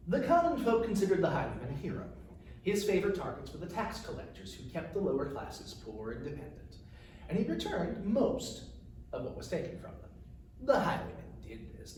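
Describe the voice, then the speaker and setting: A man's voice: a little high, a little mellow. An older man, an author introducing and reading his own fantasy story. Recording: distant microphone, very echoey room, faint noise.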